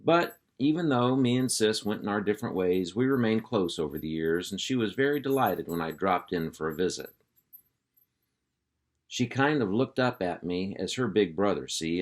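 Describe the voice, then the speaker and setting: A man reading a children's chapter book aloud in an easygoing, folksy storytelling manner. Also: deep voice. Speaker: reader.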